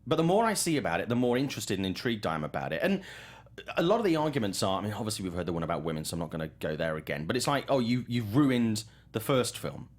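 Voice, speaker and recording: A man's voice: fairly low pitch, big pitch swings, quick pace. A man hosting a casual panel chat about films as a journalist. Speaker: talk show host and film journalist.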